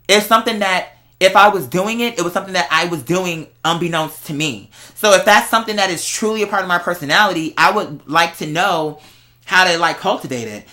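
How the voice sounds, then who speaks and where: A vlog host chatting casually and candidly to viewers, telling stories in an animated, slangy way. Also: mid-range voice. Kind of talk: casual confessional chat to viewers.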